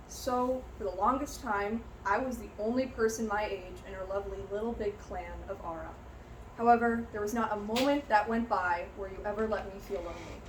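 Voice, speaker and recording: A young woman playing a heartfelt, grateful young vampire clan heir, giving a measured, earnest prepared speech in character. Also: mid-range voice, lightly acted. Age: young adult. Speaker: student giving a class speech. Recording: roomy echo, light background noise.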